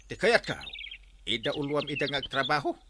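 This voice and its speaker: An actor with an Ilocano accent playing a stern, exasperated village captain in a broad radio comedy drama, scolding and exclaiming. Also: male voice, on the high side, sing-song, fairly quick, noisy background.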